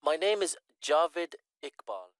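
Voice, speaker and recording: A man's voice: on the high side, very animated, slow pace, no echo, clean studio sound. A man acting a polite, careful student making an enquiry in a scripted listening-test dialogue. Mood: polite, eager. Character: courteous eager male Pakistani student enquiring by phone.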